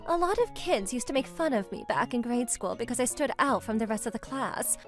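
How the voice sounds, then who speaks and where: A woman voicing a possessive, passionate young woman in a manga dub, gushing and melodramatic as she narrates. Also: high-pitched. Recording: music under the voice.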